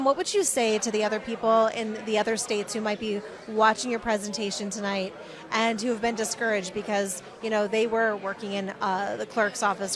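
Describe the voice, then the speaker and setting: A woman reporter doing a conversational on-location interview, speaking in an effusive, supportive way. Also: fairly high pitch. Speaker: TV correspondent interviewing. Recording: crowd chatter around the voice. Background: crowd at an event.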